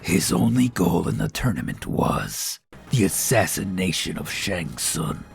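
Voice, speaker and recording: A man with a gravelly voice reading a dramatic scripted narration. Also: low-pitched, very animated, a little slow, soft background music.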